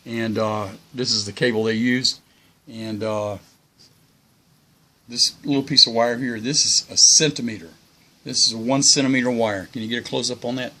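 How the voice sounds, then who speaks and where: Older man, bright voice, folksy and rambling. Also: medium pitch, fairly even intonation, moderate pace. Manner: folksy, plain-spoken, rambling. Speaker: hobbyist video host. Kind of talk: casual unscripted tips talk.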